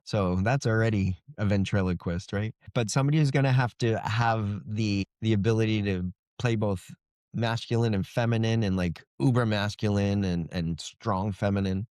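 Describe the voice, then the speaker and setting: Low-voiced man talking as an interviewed author. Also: normal speed, a little mellow, dry recording, clean studio sound. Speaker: author being interviewed about his book. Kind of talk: conversational interview, explaining his writing.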